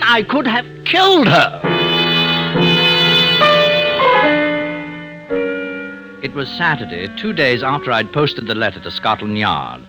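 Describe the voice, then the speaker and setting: An actor playing a nervous, self-righteous murderer doctor in an old radio drama, hesitant, stammering and theatrical. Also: male voice, mid-range voice. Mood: agitated. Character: nervous, self-righteous male poisoner doctor confessing his crimes. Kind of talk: old-time radio drama acting and first-person narration. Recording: old radio broadcast with faint music.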